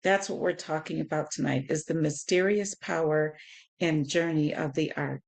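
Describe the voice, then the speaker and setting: A woman with a husky, hoarse voice hosting a live Bible study in an informal, conversational way. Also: low voice, normal speed. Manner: informal, conversational, greets listeners by name. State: hoarse, sore voice. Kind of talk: live Bible teaching, conversational with scripture reading.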